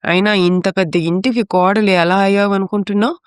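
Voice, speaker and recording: A woman's voice: medium pitch, steady tone, brisk, clean tone, no echo, studio quality, lightly acted. A woman playing an elderly mother-in-law in a family story, caring but chiding.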